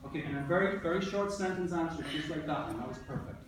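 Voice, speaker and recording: A man's voice: a little high, lively intonation, quick pace. Echoey, distant male teacher prompting a class. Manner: prompting, often repeats answers and questions. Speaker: second-grade teacher. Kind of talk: leading a classroom read-aloud discussion. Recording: echoey, noisy room, distant mic.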